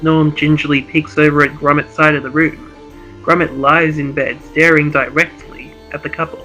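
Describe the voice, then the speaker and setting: A man with a bright, forceful and fairly high voice. He talks casually as a podcaster and script reader, with a giggly, apologetic way about him. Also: little pitch movement, moderate pace, highs cut off, soft background music. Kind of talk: casual chat and amateur script reading.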